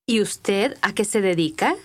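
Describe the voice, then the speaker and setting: Woman, mid-pitched voice, lively intonation, deliberate enunciation, course dialogue reader. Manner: clear, deliberate enunciation for learners. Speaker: language-course dialogue and model speaker. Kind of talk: scripted dialogue reading.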